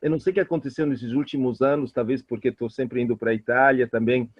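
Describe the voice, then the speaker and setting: A middle-aged man from Italy speaking with an Italian accent as a guest expert, telling personal stories quickly with many hesitations and restarts. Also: mid-range voice, husky, no echo. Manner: fast, animated talker with many hesitations and restarts. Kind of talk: interview answers, personal storytelling. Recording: muffled lo-fi audio.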